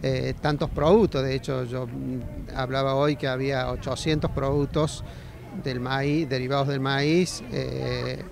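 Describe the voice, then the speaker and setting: A middle-aged man with an Argentine accent answering interview questions outdoors into a handheld mic, with halting, hesitant phrasing. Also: medium pitch, fairly even intonation, gravelly. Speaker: agronomy faculty representative being interviewed. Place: outdoors at a busy fairground. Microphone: handheld interview microphone.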